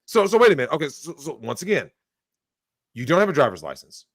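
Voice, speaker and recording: A man's voice: on the high side, very animated, fast talker, no echo. A man giving blunt opinions on a livestream, talking emphatically, swearing and acting out the people he quotes. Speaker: guest.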